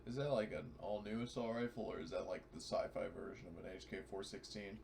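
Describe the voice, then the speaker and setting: A man's voice: lowish voice, fast talker, gravelly, darkish tone. A man chatting casually as a player in a tabletop roleplaying game. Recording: faint background noise.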